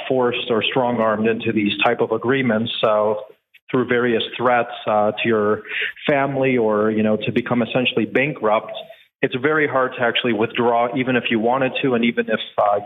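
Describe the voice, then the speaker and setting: A man answering a radio host's questions by phone, earnest, pausing often on "uh". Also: medium pitch. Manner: earnest, many "uh" pauses. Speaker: guest. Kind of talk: interview answers. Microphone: phone line. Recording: tinny phone line with faint hiss.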